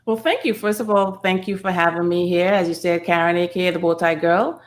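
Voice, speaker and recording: A woman's voice: fairly low pitch, fairly even intonation, brisk. A middle-aged woman, explaining earnestly and conversationally as an interview guest. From Trinidad. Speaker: podcast guest, speaker and nonprofit founder.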